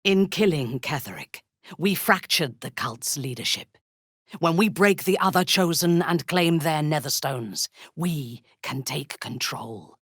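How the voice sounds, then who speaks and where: An actress playing a haughty, menacing female drow warrior cleric in a video game, speaking in an imperious, measured, cutting way. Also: low-pitched, animated, a bit bright, slightly rough, no echo, studio quality, heavily acted. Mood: calculating. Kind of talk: video game character voice lines.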